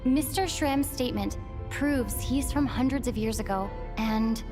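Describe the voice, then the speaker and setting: An actress playing a composed, logical young woman investigator in a video game, explaining in a measured way. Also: a little high, over music, natural voice. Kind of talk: scripted game dialogue.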